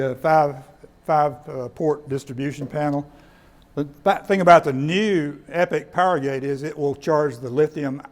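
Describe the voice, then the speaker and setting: A man with a slightly high voice gives an informal talk to a group. He explains things conversationally, often doubling back on words, as the presenter in a meeting room. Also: darkish tone. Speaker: presenter of radio kits at a club meeting. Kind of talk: informal presentation with audience questions.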